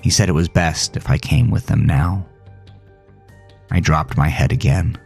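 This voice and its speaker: A man with a very low voice narrates a first-person horror story. His delivery is somber and dramatic, with deliberate phrasing and a heavy vocal fry. Music plays softly behind him.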